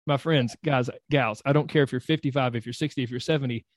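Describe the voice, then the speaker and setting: A man's voice: medium pitch, steady tone, quick pace, dry recording, clean studio sound. A man hosting an interview, enthusiastic and encouraging, rambling a bit with restarts. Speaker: host. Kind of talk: interview, coaching podcast.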